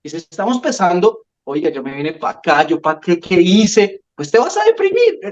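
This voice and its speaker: A man from Colombia with a Bogotá accent, co-hosting a casual podcast and explaining ideas in an animated way, often repeating words as he starts. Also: high voice, normal speed, lo-fi sound. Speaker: podcast co-host. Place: home room with a window.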